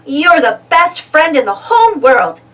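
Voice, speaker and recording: A woman's voice: high voice, big pitch swings, measured pace, fairly bright tone, far from the mic, exaggerated voice. A woman voicing a cheerful, eager little girl adventurer in a children's storybook, exclaiming and putting questions to the listener. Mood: happy. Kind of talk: children's storybook read-aloud.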